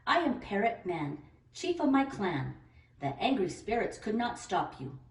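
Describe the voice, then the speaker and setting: A woman's voice: medium pitch, big pitch swings, measured pace. A woman voicing a welcoming, dignified old male clan chief in a children's storybook. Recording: roomy echo, distant microphone.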